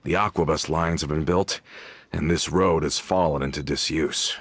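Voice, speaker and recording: Deep, courteous loyal henchman. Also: steady tone, heavily acted. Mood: nostalgic. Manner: formal, measured, respectful. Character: earnest, courteous male henchman, loyal and deferential. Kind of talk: video game voice acting. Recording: soft game music behind.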